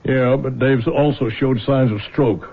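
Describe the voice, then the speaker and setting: An actor playing an older small-town lawman, gravelly and probing, dogged but concerned, in 1970s radio drama style. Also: mid-range voice, a little slow. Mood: worried, grim. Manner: expressive, probing questioning. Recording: muffled lo-fi vintage radio broadcast.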